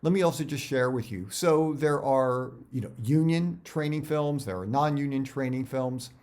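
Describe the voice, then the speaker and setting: A middle-aged man giving career advice in a casual, conversational way, halting and often repeating words as he talks directly to viewers. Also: mid-range voice, a little mellow.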